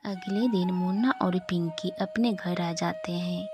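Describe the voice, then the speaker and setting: Slow, low-voiced woman narrating a story. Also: steady tone. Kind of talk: scripted children's story narration. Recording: music behind the voice.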